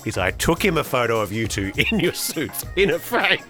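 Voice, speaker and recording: Lively man with a bright voice. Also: mid-range voice, moderate pace, faint music behind. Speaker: podcast contributor reading out reviews. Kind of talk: casual chat, reading aloud.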